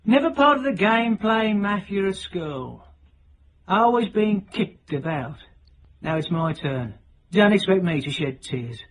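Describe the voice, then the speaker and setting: A middle-aged, working-class British chancer in a radio play. He is cocky and unsentimental, with a husky voice that swings widely in pitch. His talk is clipped and sardonic. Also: lively intonation, unhurried. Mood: defiant, bitter. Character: hard, cocky, self-made working-class British man, unsentimental schemer. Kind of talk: radio drama dialogue.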